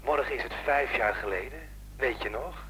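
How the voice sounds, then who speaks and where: A man's voice: medium pitch, moderate pace, pushed and bright, natural voice. A man acting in a radio play as a bitter, menacing caller who taunts and insists. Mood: menacing, taunting. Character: menacing, bitter man tormenting an old acquaintance by phone. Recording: noisy radio play recording, telephone-like.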